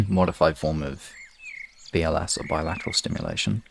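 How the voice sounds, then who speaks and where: A man's voice: rumbling low, big pitch swings, moderate pace, creaky, darkish tone, a little hiss or hum. A man chatting casually on a live stream in a hesitant, rambling, self-questioning way, as host of relaxation sessions. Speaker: hypnosis ASMR streamer.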